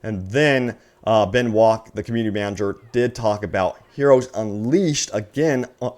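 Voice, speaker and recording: A man's voice: fairly low pitch, moderate pace, a little mellow. A man hosting a gaming news video in an upbeat, enthusiastic fan commentary style, stretching words for emphasis and addressing his viewers directly.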